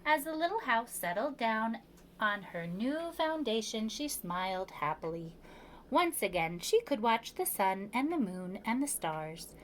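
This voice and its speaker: Expressive woman reading a children's story, higher-pitched. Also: measured pace, a little hiss or hum, low-quality recording. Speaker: preschool storyteller. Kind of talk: children's picture book read-aloud.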